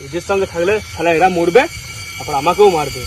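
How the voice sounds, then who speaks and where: A man's voice: a little high, fast talker, background noise, natural voice. A man playing a brash, scolding young man in an amateur horror-comedy skit. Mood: agitated.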